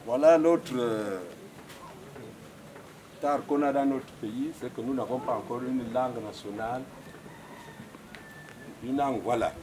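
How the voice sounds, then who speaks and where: Older gabonese guy, elder speech outside, halting french, fillers everywhere, bit of background noise. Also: medium pitch, a little mellow, rough recording. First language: Ipounou. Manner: halting, searching for French words, many fillers. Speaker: family elder speaking at a customary wedding. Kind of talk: formal ceremonial speech.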